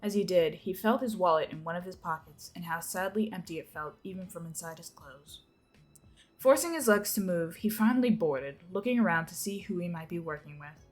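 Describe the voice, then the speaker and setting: Lowish-voiced young woman narrating over music. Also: darkish tone. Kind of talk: dramatic reading of fan fiction.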